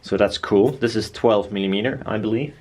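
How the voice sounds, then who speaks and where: A man's voice: fairly low pitch, a little fast, a little mellow. A man talking casually and bluntly as he presents his own DIY build, rambling informally. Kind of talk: casual workshop chat.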